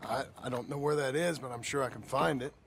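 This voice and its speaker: Man acting a gravelly, weary American outlaw. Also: medium pitch, background noise, natural voice. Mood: humble, hesitant. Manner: folksy, plain-spoken, halting. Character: weary gruff outlaw husband trying to go straight. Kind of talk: cinematic western game dialogue.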